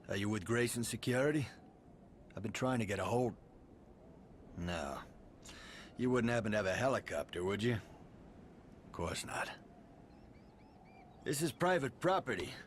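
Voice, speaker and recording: A man's voice: fairly low pitch, close to an everyday voice. A man playing a bitter, sardonic estranged son of a tycoon in a video game cutscene, speaking with dry weariness. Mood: impatient, sardonic. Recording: noisy game capture.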